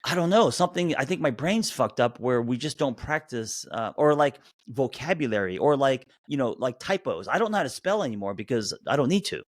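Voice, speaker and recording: A man in his fifties co-hosting a podcast, chatting casually in an animated, rambling way with many restarts. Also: mid-range voice, a little fast, hardly any pauses, no echo, clean studio sound. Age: 50s. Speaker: podcast co-host. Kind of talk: casual chat.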